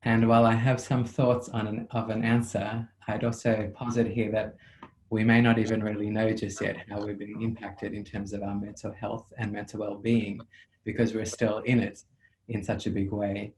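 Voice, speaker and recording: South African male therapist, level low delivery, measured and reflective, video call audio. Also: level tone, darkish tone, slight background noise, low-quality recording. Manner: measured, reflective, with frequent ums. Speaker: psychotherapist. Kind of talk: thoughtful interview answers.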